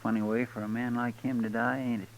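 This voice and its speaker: An actor playing a folksy, loyal deputy with a rural American accent and deferential drawl in a 1950s radio western, on a muffled old recording. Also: male voice, medium pitch, level tone, a little fast, creaky, a little hiss or hum, lightly acted. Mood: earnest, concerned.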